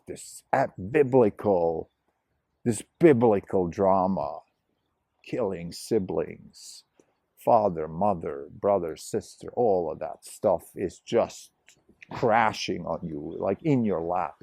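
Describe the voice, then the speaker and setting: A man's voice: deep voice, animated, takes it slowly, creaky, mellow, no room sound, studio quality. A middle-aged man, a novelist hosting his own show, thinking aloud in a rambling solo monologue full of digressions. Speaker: novelist and podcast host.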